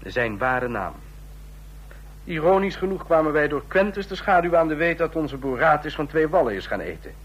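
An actor playing a suave, scheming ambassador in a Dutch radio drama, speaking formally and deliberately. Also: male voice, a little high, very animated, husky, poor audio. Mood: conspiratorial. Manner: formal, deliberate, declamatory when toasting. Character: suave, scheming ambassador of a small authoritarian state.

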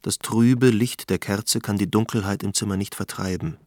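A man narrating a German radio drama in a measured, deliberate, sombre literary style. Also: on the low side, fairly even intonation, a little mellow, no room sound, clean studio sound, natural voice.

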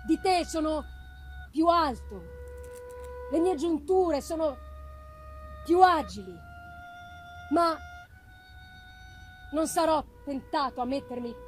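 A woman voicing a tall, wretched created monster, bitter, pleading and menacing, in halting, deliberate phrases for a gothic novel reading. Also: high-pitched, animated, soft background music. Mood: pleading, proud. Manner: slow, halting, deliberate delivery in short phrases. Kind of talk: dramatic audiobook-style reading of a gothic novel.

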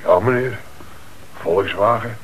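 A man's voice: on the low side, takes it slowly, a bit bright. An actor playing a troubled, deferential working-class father in a radio play, speaking plainly and hesitantly. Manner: hesitant, humble, plain-spoken. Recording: noisy old radio drama recording.

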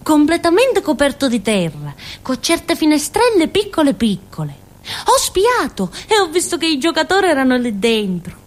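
An actress playing a naive, stubborn village fool in a theatrical radio monologue, with a childlike, rambling, emphatic way of talking. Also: female voice, sits high, wildly swooping pitch, normal speed. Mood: agitated, indignant.

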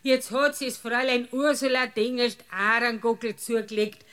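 Actress as a high-voiced, earthy Bavarian village woman. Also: steady tone, moderate pace, fairly bright tone, lightly acted. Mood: innocently brazen. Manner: folksy, blunt, aggrieved storytelling. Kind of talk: comic radio drama acting.

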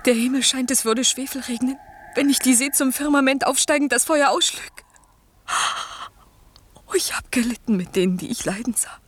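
An actress in a German radio play, playing a magician's tender young daughter with a breathy, earnest delivery. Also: female voice, sits high, moderate pace, fairly bright tone, a little hiss or hum. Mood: anguished, pleading.

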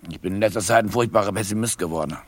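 An actor playing a domineering, hulking rich husband in a German radio play, arrogant, curt and given to lecturing. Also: male voice, on the low side, very fast, a little hiss or hum, lightly acted. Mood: pompous, alarmed.

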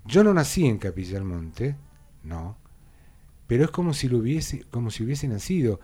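A man's voice: medium pitch, very animated, normal speed, warm tone, husky. An older man from Buenos Aires with an Argentine accent, hosting a local radio interview in a chatty, anecdotal way with frequent fillers. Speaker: radio host.

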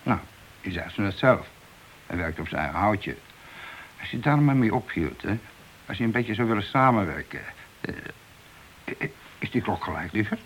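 Evasive policeman husband: deep, hesitant, placating; hissy old radio play. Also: lively intonation, moderate pace, pauses often, a bit bright, poor audio. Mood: loyal, placating. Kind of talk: Dutch radio drama acting.

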